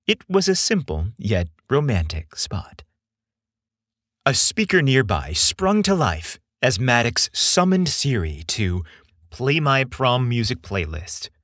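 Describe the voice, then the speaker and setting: A man narrating a scripted romance story as a podcast host, in an expressive storytelling style. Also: medium pitch, slow pace, dry recording, studio quality.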